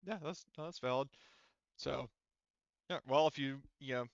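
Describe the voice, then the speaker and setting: A man working as game master, narrating a tabletop roleplaying game in an informal, halting way as he thinks aloud. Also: mid-range voice, creaky, dry recording, muffled recording.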